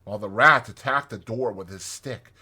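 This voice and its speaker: A man's voice: lowish voice, normal speed, bright, forceful tone, breathy edge. A man reading a classic storybook aloud as a dramatized audiobook narrator.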